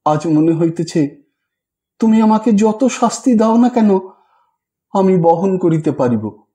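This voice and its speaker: A man's voice: sits high, lively intonation, slow pace, warm tone. A man voicing a sorrowful young wife who confesses with grave resolve, in a storybook reading. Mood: grave, resigned.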